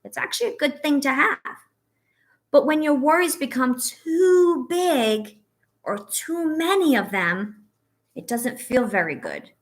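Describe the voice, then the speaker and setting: A woman reading a picture book aloud to young children as a teacher, pausing to ask questions and offer encouragement and reassurance. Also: high-pitched, animated. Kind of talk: read-aloud story with asides to young children.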